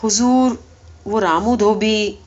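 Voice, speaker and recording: A woman's voice: medium pitch, fairly even intonation, darkish tone, dull audio, close to an everyday voice. A woman voicing an earnest, persistent talking donkey who pleads respectfully and argues back in a story reading. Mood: sorrowful pleading. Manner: pleading, deferential yet argumentative.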